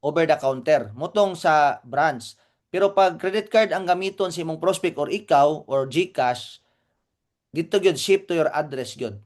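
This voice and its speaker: A man's voice: a little high, measured pace. A man with a Cebuano accent co-hosting a live training meeting, explaining informally, repeating points and checking that listeners follow.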